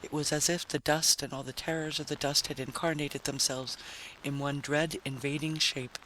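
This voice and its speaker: A man's voice: a little high, level tone, moderate pace, sharp tone, rough recording. A man narrating a story in a dramatic, scripted style. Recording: faint background noise.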